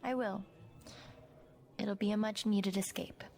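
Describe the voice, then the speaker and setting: A woman's voice: medium pitch, fairly even intonation, measured pace, gravelly, a little mellow. An actress playing a composed, stubborn young woman journalist in naturalistic audio drama dialogue. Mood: composed, guarded.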